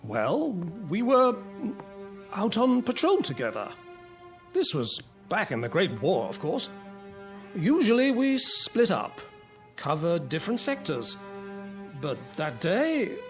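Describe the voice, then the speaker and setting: A courtly, jovial old air marshal in radio drama style. The voice sits high and rises and falls dramatically, polite and hearty. Faint music plays behind it. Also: male voice, heavily acted.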